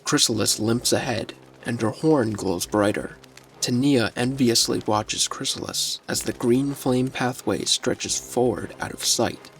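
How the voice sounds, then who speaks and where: A man reading scripted story narration in a measured, descriptive manner. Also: medium pitch, creaky, a little hiss or hum, poor audio.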